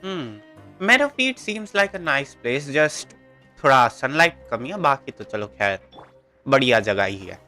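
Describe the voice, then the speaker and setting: A man with a North Indian accent chats through a game livestream. He talks extremely fast in a bright, mid-pitched voice, rambling between two languages. Game music sits behind him. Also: very animated. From India, Delhi. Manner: rambling, mixes Hindi and English. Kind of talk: casual live gameplay commentary.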